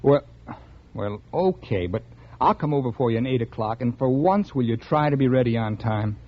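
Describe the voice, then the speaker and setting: An actor playing a vain, cynical mystery novelist in 1950s radio drama, narrating and talking curtly and condescendingly. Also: male voice, medium pitch, lively intonation, normal speed, background noise, lightly acted. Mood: evasive, impatient. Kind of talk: 1950s American radio drama acting, first-person narration. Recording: muffled vintage radio recording, music behind.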